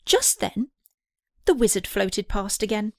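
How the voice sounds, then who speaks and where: A woman's voice: mid-range voice, big pitch swings, a little slow, a little mellow, no room sound, studio quality. A woman narrating a scripted children's story as an audiobook reader.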